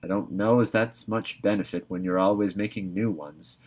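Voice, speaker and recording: A man voicing a stern, sharp-tongued elderly spinster woman in a storybook reading, brisk and scolding. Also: lowish voice, steady tone, lightly acted. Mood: dry.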